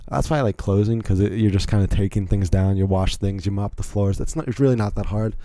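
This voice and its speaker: A man's voice: lowish voice, quick pace, warm tone, no room sound. A young American man from Virginia hosting a solo podcast, telling stories in a rambling, chatty way with many asides. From Virginia, United States. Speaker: podcast host. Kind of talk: casual solo podcast storytelling.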